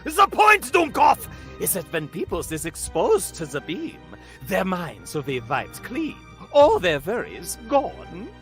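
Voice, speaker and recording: A man voicing an excitable crackpot scientist with a German accent and a theatrical, exclamatory delivery. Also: high voice, slow pace, soft background music, exaggerated voice. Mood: scornful, scheming.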